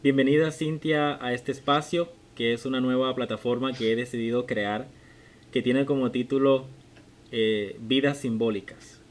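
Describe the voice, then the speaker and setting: A young man in his twenties hosting a conversational podcast interview. His voice sits at a middle pitch, and he asks thoughtful, measured questions, sometimes restarting a phrase. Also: steady tone. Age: 20s. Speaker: podcast host.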